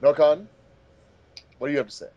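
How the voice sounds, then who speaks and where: A man's voice: fairly high pitch, steady tone, fairly quick, warm tone, highs cut off. A man hosting a casual group commentary, talking in a rambling, hesitant and complimentary way. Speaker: host of a fandub commentary track.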